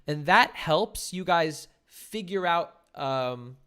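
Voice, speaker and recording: A man coaching two guests through a practice date, speaking with encouragement and plain instructions. His voice sits a little high for a man and comes across smooth and clean. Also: lively intonation, takes it slowly, fairly bright tone. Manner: encouraging, direct, gives instructions. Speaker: relationship coach and host. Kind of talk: coaching speed-dating guests.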